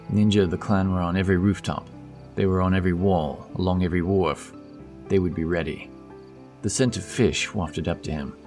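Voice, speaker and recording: Deep-voiced man narrating fantasy over music. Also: darkish tone. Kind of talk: scripted fantasy audio story narration. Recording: music under the voice. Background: background music.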